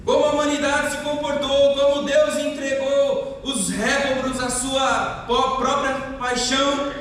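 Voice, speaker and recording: A man's voice: very high-pitched, level tone, unhurried, fairly bright tone. A man from the interior of São Paulo state with a Brazilian accent, preaching an expository sermon and building to emphatic proclamations. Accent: Brazilian Portuguese, São Paulo interior. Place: church hall. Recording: far-off mic in an echoey church hall with faint noise.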